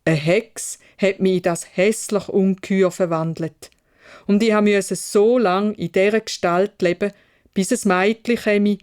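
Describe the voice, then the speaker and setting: Woman as an earnest young prince, slow Swiss German. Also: mid-range voice, a little mellow, clear, resonant tone, lightly acted. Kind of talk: storybook character voice.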